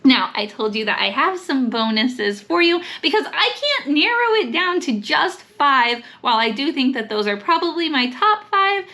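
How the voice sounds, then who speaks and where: Homeschool mom vlogger, female, high and bright voice, enthusiastic, emphatic delivery of book picks. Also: very animated, normal speed, hardly any pauses. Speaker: host, homeschool mom recommending books. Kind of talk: upbeat vlog book recommendations to camera.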